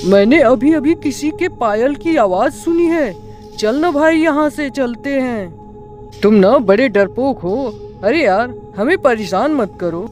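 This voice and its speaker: Young village treasure hunter for horror cartoon, acted: dramatic, exclamatory, slow delivery, soft music behind. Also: high voice, animated. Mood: nervous, bickering. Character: frightened or cocky young village friends hunting treasure. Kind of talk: Hindi cartoon horror story dialogue.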